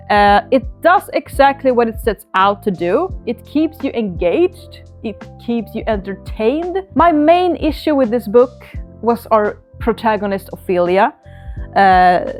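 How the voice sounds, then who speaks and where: A woman whose mother tongue is Swedish, talking at a middle pitch in relaxed English. She reviews books in a casual monologue, often pausing on "uh" and "um" and now and then correcting herself. Soft music plays quietly underneath. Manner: relaxed, frequent "uh" and "um" fillers, occasional self-correction. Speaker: book vlogger.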